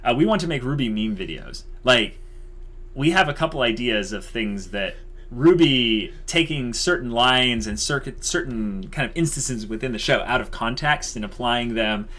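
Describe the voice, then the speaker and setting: A man's voice: medium pitch, lively intonation, moderate pace, sharp tone. A man hosting a channel, talking casually to viewers in a wordy, explanatory way full of qualifiers. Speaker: channel host.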